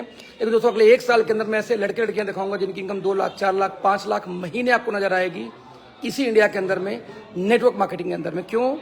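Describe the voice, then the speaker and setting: A hoarse man giving an emphatic motivational talk on a live stream as a team leader, repeating phrases for emphasis. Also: sits high. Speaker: network marketing team leader.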